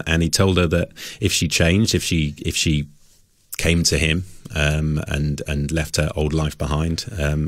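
A man who is a singer-songwriter and interview guest, talking reflectively and haltingly about his faith, repeating words as he thinks aloud. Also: a bass voice, no echo. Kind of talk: conversational radio interview, reflective testimony.

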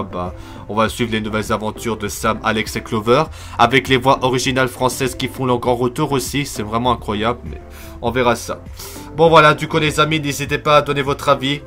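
A man's voice: medium pitch, fairly bright tone. A man giving casual cartoon news commentary as a channel host, rambling and repeating himself. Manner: rambling, repetitive, filler-heavy.

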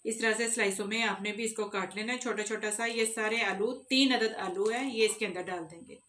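A woman giving calm, step-by-step cooking instructions in a home kitchen, talking directly to her viewers while she cooks. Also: medium pitch, a little fast. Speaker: cooking channel host. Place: home kitchen, off camera over a pot.

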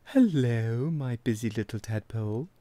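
A man's voice: mid-range voice, a little slow, background noise, natural voice. A man voicing a caring, encouraging mother frog in a children's storybook, in a motherly manner.

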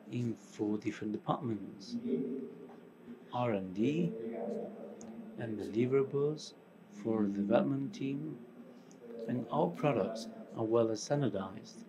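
A man's voice: medium pitch, crowd noise. Middle-aged Portuguese man presenting in a hall. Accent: Portuguese with Spanish mixed in. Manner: halting, in short phrases with pauses. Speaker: company co-founder presenting. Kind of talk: conference presentation. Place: large conference hall stage, indoors. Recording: conference hall with audience noise.

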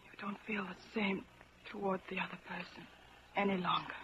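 An actress playing a broken, guilt-ridden woman, subdued and halting, in 1940s radio drama style. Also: mid-range voice, level tone, natural voice. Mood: bleak resignation. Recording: muffled vintage 1940s radio recording, faint noise.

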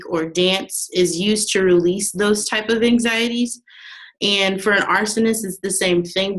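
A young woman, a student, reading a class presentation from her slides, with some restarts. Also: mid-range voice. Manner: reads steadily from notes with some restarts.

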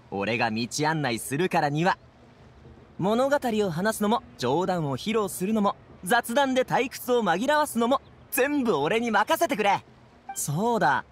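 高めの声の快活な若者. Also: male voice, high voice. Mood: eager, cheerful. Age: young adult. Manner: casual, breezy, boyish slang. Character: upbeat, friendly young man, transport guard. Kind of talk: Japanese anime game voice acting.

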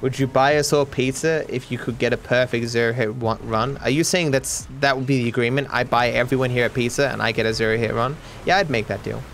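A man's voice: mid-range voice, fairly even intonation, fast, hardly any pauses, a bit bright, a little hiss or hum. A man with an Australian accent chatting casually to a live stream over gameplay, joking in a dry, sarcastic way. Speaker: video game streamer.

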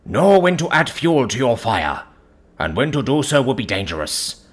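A man playing a calm, devout male counsellor in an audiobook story, speaking in a measured, earnest, gentle but firm way. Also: mid-range voice, faint music behind, voice pushed up. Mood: calm, advising. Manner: measured, earnest, preaching in metaphors.

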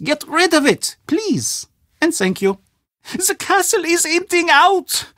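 An actor playing an anxious, gloomy older castle official in a video game, with a German accent and a fretful, melodramatic, formal way of talking. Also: male voice, extremely high pitch, fairly bright tone, no echo, clean studio sound, exaggerated voice. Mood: agitated, worried. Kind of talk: video game character acting.

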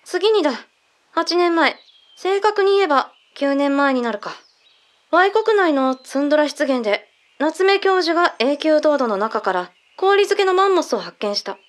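An actress playing a calm intelligence colleague in an audio drama, measured and matter-of-fact, with blunt masculine phrasing. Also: female voice, sits high. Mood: composed, explanatory. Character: calm intelligence colleague briefing secret findings.

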